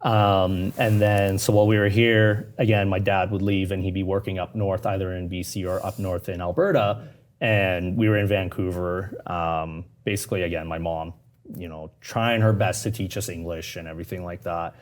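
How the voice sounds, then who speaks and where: Low-voiced Canadian man, casual storyteller. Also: fairly even intonation, moderate pace. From Canada, moved from Iran as a young child. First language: Persian (Farsi). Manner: informal, acts out quoted reactions. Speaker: podcast guest, police constable.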